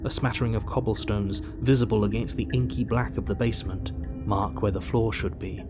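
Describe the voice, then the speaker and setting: A man playing a stern, exasperated narrator in a visual novel, speaking in a measured, articulate, matter-of-fact way. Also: on the low side, steady tone, natural voice. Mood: matter-of-fact, weary. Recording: music under the voice.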